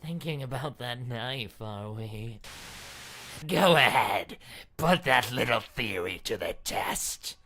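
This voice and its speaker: Man acting as a slow, menacing masked princess. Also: mid-range voice, animated, sharp tone, pitched above the performer's own voice, full character voice. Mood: taunting, menacing. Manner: slow, mocking, threatening. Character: menacing masked captive princess, taunting and scornful. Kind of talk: dramatic game character acting.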